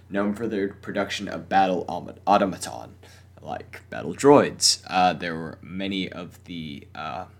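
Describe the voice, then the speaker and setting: Young man, casual solo podcast, strained from a cough, halting with fillers, gravelly. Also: rumbling low, fairly bright tone. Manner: hesitant, many fillers and restarts. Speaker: podcast host.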